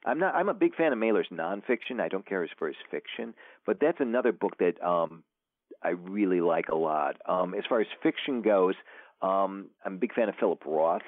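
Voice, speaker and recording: A very deep-voiced man speaks over a thin, phone-like line with a dry, close sound. He is an author chatting as a guest, wandering through his thoughts with lots of fillers and false starts. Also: lively intonation, normal speed, no echo. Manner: rambling, many fillers and restarts. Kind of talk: casual interview talk.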